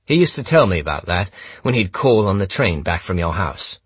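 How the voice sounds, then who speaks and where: A man's voice: low voice, very animated, moderate pace, slightly rough, no echo, heavily acted. A man voicing a smug, menacing male villain for an audiobook, with a commanding, sneering delivery. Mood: bitter sneering. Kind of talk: audiobook character dialogue.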